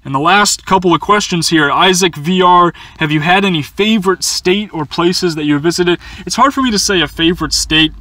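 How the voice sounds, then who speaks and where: A man's voice: high-pitched, fairly quick, few pauses, bright, forceful tone, no echo. A young man chatting casually through a viewer Q&A from inside a small camper van, rambling with lots of fillers and stumbling restarts. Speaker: van-life vlogger answering viewer questions. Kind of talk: casual Q&A chat.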